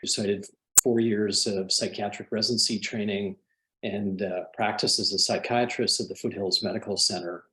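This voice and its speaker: A man with a fairly low voice talks about his work as a psychiatrist on a webinar panel. He pauses often and fills the gaps with small hesitations as he thinks. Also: steady tone, pushed and bright, a little hiss or hum, rough recording. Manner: thoughtful, hesitant with many fillers.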